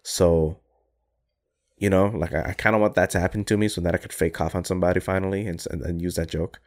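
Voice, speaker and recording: A young man co-hosting a casual chat, animated and jokey, swearing and laughing often. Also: low-pitched, fast talker. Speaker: podcast co-host.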